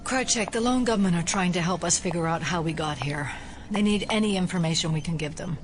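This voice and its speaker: A woman playing a skeptical FBI agent and doctor in an audio drama, speaking in a measured, clinical way. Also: lowish voice, slight background noise, lightly acted. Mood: measured, inquiring.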